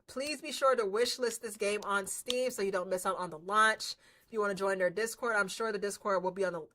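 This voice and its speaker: A woman's voice: mid-range voice, pushed and bright. A woman streaming a game, chatting and reacting in a casual, slangy, teasing way. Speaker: streamer playing a visual novel.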